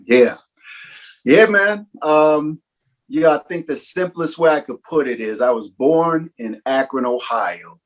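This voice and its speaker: A man's voice: on the high side, lively intonation, slow pace. Easygoing slangy man telling his story. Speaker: guest, rapper. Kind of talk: casual conversation over video call. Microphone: video call.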